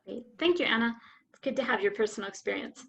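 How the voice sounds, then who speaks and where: Webinar moderator, woman, chipper, reads questions briskly, higher pitch. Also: vocal fry. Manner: bright, quick, reads out audience questions. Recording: online video call.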